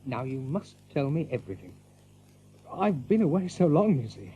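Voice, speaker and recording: An actor playing an aging, gentle rabbit leader in an audio drama, with a halting, reflective, murmuring delivery. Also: male voice, medium pitch, big pitch swings, slight background noise, natural voice. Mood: earnest, curious. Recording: muffled audio drama with music behind.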